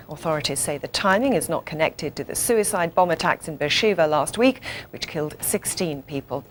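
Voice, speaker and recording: A woman's voice: medium pitch, slight background noise. A woman presenting television news, reading scripted bulletins and putting questions to a correspondent.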